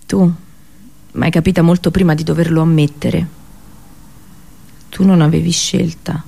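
An actress playing an anguished, passionate captive princess and seer in an intimate, poetic radio monologue. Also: female voice, low-pitched, gravelly, mellow, exaggerated voice. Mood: resigned, sorrowful. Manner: intimate, poetic, intense delivery.

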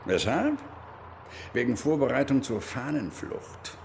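A stern, disappointed army major of middle age in a German radio play. His voice is gravelly and swings widely in pitch, and he presses with paternal menace and short probing questions. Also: big pitch swings, a little slow, slight background noise. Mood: pressing. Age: middle-aged. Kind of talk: German radio crime drama acting.

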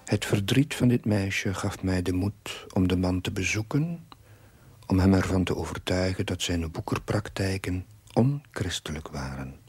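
Husky, low-voiced confessional chaplain. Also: male voice, a little mellow, dry recording, exaggerated voice. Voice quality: husky, breathy. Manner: measured, confessional. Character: earnest village chaplain reflecting on his life in diary narration. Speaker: narrating main character. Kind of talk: scripted diary narration in a TV period drama.

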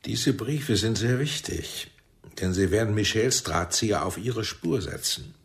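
A calculating, weary spymaster in a radio play. His voice is very low and husky, and he talks in a measured, persuasive way, like a father who is in command. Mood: smooth, persuasive. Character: paternal, shrewd Israeli intelligence chief, calculating, weary. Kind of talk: German radio play acting.

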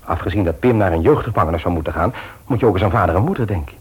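A man's voice: deep voice, lively intonation, racing, slightly rough, full character voice. A male radio play actor playing a conscientious family man, speaking naturally, hesitantly and earnestly. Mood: troubled, earnest. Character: conscientious, troubled family man and office worker, jovial host. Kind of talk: naturalistic Dutch radio drama acting.

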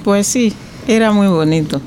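A woman's voice: mid-range voice, a little slow, darkish tone, slight background noise. A woman from the Ivory Coast, a guest giving brief, hesitant answers in an interview.

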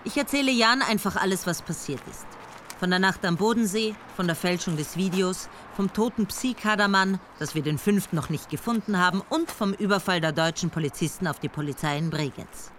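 An actress playing a determined police chief in a radio drama, narrating in first person with a brisk, probing manner. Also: female voice, on the low side, fairly bright tone, slight background noise, close to an everyday voice. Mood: calm. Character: determined female police chief, sharp and commanding.

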